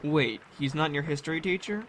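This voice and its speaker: A man acting a weary, sarcastic high school boy in an audio drama, with a dry delivery. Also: fairly quick, fairly bright tone, close to an everyday voice. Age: young adult. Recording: ambient vehicle noise behind.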